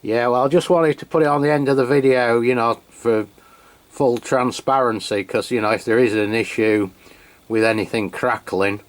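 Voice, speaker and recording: A man's voice: medium pitch, steady tone, moderate pace, no room sound. A man from England reviewing a radio outdoors on a cold, wet hilltop, talking casually with lots of fillers and restarts. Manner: chatty, full of fillers and restarts. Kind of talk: casual radio chat to camera. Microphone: handheld radio mic and camera.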